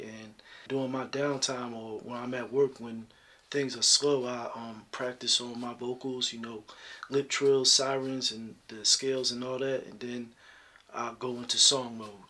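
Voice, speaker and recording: A man with a deep talking voice, answering interview questions in a relaxed, soft-spoken way with many fillers. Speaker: local singer guest.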